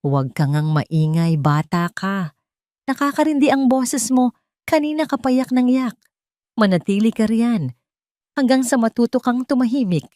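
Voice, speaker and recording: A woman voicing a cruel mistress in an audio melodrama, shrill, harsh and impatient as she scolds. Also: expressive, moderate pace, warm tone, dry recording, studio quality, lightly acted. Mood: angry scolding.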